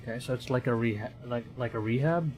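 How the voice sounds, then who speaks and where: A man's voice: mid-range voice, fast, darkish tone, a little hiss or hum. A man from the Philippines, a streamer reading a horror visual novel aloud in a dramatic storytelling manner, with commentary.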